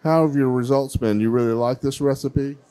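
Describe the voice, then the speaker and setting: A man's voice: medium pitch, fairly even intonation, a little fast, dark tone. A middle-aged man, hosting a kids' baking contest on a handheld mic in a large fair hall, chatty and encouraging. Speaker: contest host. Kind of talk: emcee interviewing child contestants.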